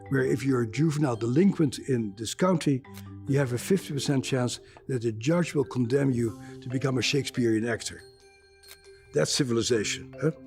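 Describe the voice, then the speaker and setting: An older man speaks with a gravelly, slightly worn voice at an ordinary middle pitch. He is a psychiatrist, and in an interview he explains his work in a measured way, dropping the odd wry aside. Soft music plays faintly underneath.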